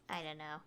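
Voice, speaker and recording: A woman's voice: lowish voice, sharp tone, clear, resonant tone. A woman streaming a game with casual, rambling commentary, thinking aloud.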